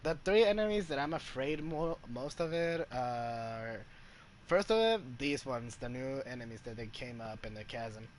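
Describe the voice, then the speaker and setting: A man giving casual gameplay commentary as a streamer, halting and searching for words. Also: mid-range voice, slight background noise. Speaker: gaming streamer. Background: video game music.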